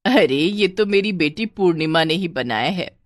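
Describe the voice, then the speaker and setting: A woman's voice: on the low side, expressive, a little slow, dry recording, clean studio sound. A woman voicing a scheming middle-aged mother in a story, coaxing and doting on her daughter.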